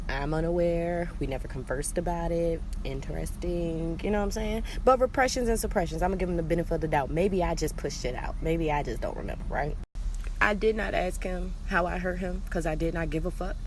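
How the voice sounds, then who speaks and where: A woman's voice: fairly low pitch, a little hiss or hum, rough recording. A young woman telling a personal story in a casual, chatty way, candid and slangy, with emphatic repeated phrases. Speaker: storytelling vlogger.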